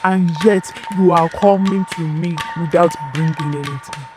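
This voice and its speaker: A woman's voice: fairly low pitch, moderate pace, natural voice. A woman voicing a stern, angry old king, thundering and commanding. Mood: furious. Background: music.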